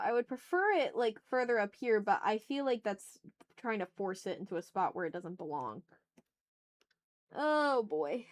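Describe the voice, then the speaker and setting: A woman hosting an art livestream, chatting casually and muttering to herself in an informal, rambling way as she works. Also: mid-range voice. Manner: informal, mutters to self, mild swearing. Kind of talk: casual rambling chat while drawing.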